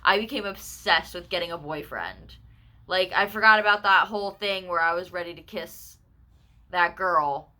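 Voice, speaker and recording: A woman in her twenties telling a personal story in a casual, candid vlog, full of quick asides and self-corrections. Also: lowish voice, bright, forceful tone. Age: 20s. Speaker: vlogger.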